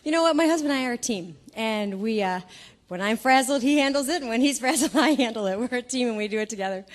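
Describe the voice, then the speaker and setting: A woman's voice: fairly high pitch, animated, fast talker. A woman chatting casually on stage as a guest at a live show. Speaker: guest, mother of a performing family. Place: theater stage before an audience.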